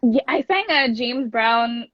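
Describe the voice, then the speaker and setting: A woman's voice: a little high, expressive, takes it slowly, no echo. A young woman from Kenya, a native Luo speaker, chatting casually and enthusiastically as an interview guest. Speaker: guest, classical singer and voice teacher. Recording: dull lo-fi close dry sound.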